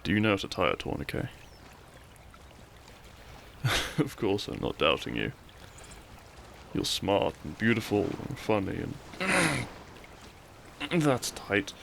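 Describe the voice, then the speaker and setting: Man acting a cheeky low-voiced bandit outlaw. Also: very animated, slow pace, vocal fry, pauses often, a bit bright, slight background noise, full character voice. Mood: pained, reassuring. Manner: teasing, gallant, dramatic. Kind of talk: audio roleplay acting, addressing the listener.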